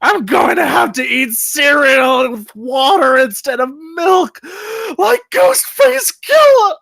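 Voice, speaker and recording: A man's voice: very high-pitched, lively intonation, slow pace, gravelly, sharp tone, no room sound, voice pushed up, exaggerated voice. A man voicing a jokey, whiny, melodramatic Bigfoot in a comedy screenplay read. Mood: wailing, panicked. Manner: theatrical, wailing when upset.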